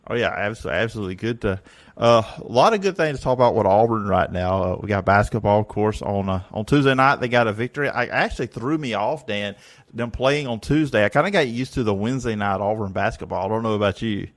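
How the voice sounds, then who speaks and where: A man hosts a sports talk show with energy and chatter, his fairly low voice gravelly with fry. The studio mic sounds close and dry. He restarts phrases often as he talks fast about games. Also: no room sound. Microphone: studio microphone.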